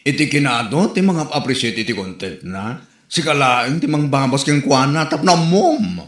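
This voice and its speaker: A man with an Ilocano Filipino accent playing an excitable, supportive friend and husband in a comedy audio drama. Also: fairly high pitch, normal speed. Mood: scolding, defensive. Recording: roomy, echoing home recording.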